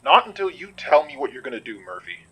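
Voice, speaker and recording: A man acting as a blunt, wary and protective man in an audio drama, with a rough, gravelly voice. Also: fairly high pitch, huge pitch swings, fast, pushed and bright, exaggerated voice, low-quality recording. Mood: challenging.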